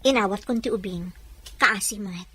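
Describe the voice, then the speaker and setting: A woman's voice: medium pitch, moderate pace, over loud music, lightly acted. A woman playing an earnest wife in an Ilocano audio drama. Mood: earnest explaining. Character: Amanda, earnest wife who takes in a baby. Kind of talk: Ilocano radio-style drama acting.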